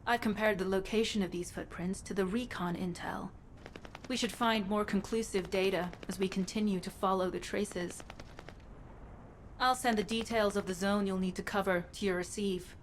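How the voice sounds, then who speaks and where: A dutiful female recon soldier from a video game, played by a woman, speaking at a medium pitch. She is earnest and a little weary, yet determined, with faint noise underneath. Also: fairly even intonation, normal speed, lightly acted. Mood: businesslike, focused. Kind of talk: scripted video game dialogue.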